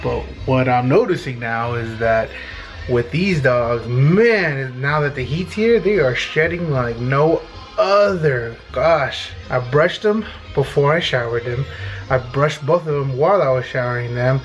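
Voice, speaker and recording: A man's voice: mid-range voice, animated, measured pace, rough recording. Casual man vlogging over background music. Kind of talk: casual home vlog chat.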